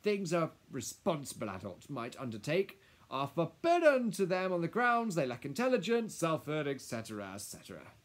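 A man's voice: a little high, wildly swooping pitch, normal speed, hardly any pauses, pitched above the performer's own voice. A man voicing a nervous, deferential lawyer who speaks in a fussy, hesitant, officious way in a storybook.

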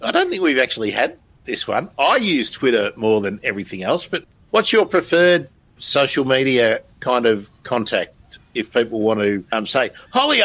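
A man's voice: mid-range voice, a bit bright, no room sound, rough recording. A man hosting a live stream in a casual, chatty, self-deprecating way. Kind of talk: casual chat.

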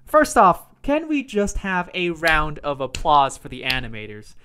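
A man's voice: fairly high pitch, wildly swooping pitch, measured pace, sharp tone, smooth. Man giving casual game review commentary. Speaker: video game review host. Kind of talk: conversational video commentary.

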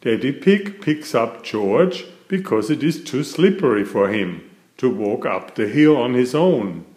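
Slow, expressive man reading a picture book. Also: mid-range voice, echoey room. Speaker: picture book reader and author. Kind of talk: slow read-aloud storytelling for young children.

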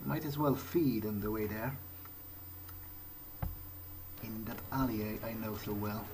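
A man role-playing in character during gameplay, thinking aloud in a measured, musing way. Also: lowish voice, noisy background. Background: loud video game music.

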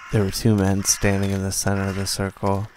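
A man playing a weary, bitter survivor who narrates a post-apocalyptic radio play in a grim, deliberate way. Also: low voice, completely flat delivery, a little mellow, slight background noise, lightly acted, poor audio.

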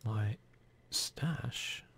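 A man giving whispered, relaxed ASMR commentary over gameplay, musing idly close to the microphone. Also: drawn-out words, slight background noise. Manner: relaxed, idle musing to himself and viewers. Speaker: gaming channel host.

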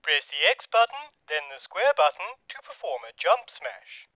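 A man's voice: fairly high pitch, bright, forceful tone, dry recording. A man reading scripted help instructions for a video game tutorial aloud. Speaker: game developer recording placeholder help lines.

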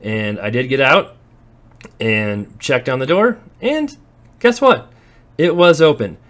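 A man's voice: medium pitch, lively intonation, slow pace, a bit bright. A man, a landlord, chatting casually as he walks through his properties on a handheld phone camera. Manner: chatty, storytelling, occasional laughs. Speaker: real estate investor and landlord. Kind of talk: walkthrough vlog narration. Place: walking through empty warehouses and outdoors.

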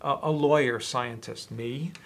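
50s guy, health expert type, average pitch, sit-down documentary interview. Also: unhurried, gravelly, fairly bright tone. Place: small office.

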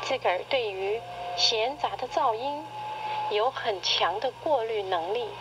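A middle-aged woman reading a personal essay in radio drama style, with measured, literary, expressive delivery. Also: a little high, dull audio. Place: played back from a cassette recorder speaker. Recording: noisy cassette tape played through a recorder.

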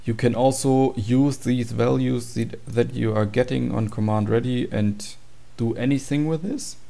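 A man's voice: mid-range voice, fairly even intonation, a little slow, gravelly, mellow. A man giving an informal, matter-of-fact tutorial walkthrough of program code. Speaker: tutorial presenter.

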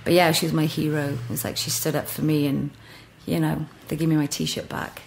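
A woman's voice: deep voice, level tone, fairly quick, gravelly, a little hiss or hum. A middle-aged Welsh woman, a podcast guest, telling stories frankly with easy laughs. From Wales. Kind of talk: casual chat. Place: office.

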